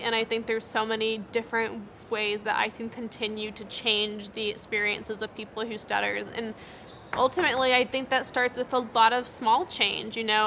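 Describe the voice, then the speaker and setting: Young woman who stutters, bright voice. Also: a little high, a little hiss or hum. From United States. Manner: stutters, with repeated words and broken-off sounds; earnest and encouraging. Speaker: interviewee, speech-language pathologist. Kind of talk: interview answers.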